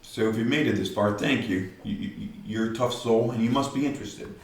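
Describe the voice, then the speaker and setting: An older man with a gruff voice who talks directly, rambling to camera in a casual vlog and often tagging on "right?". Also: medium pitch, fairly quick, very echoey, far from the mic. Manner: rambling, many "right?" tags. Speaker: vlogger.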